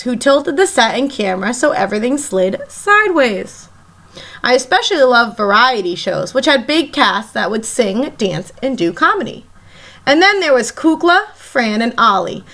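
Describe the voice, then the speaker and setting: A woman teacher reading a picture book aloud to children in an expressive, emphatic storytelling manner. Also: high-pitched. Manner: animated, emphatic, pauses to question young listeners.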